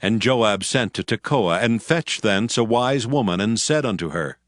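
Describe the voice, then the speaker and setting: A man's voice: on the low side, expressive, moderate pace, dry recording, studio quality. A man giving a solemn scripted narration of scripture in archaic English. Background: faint music.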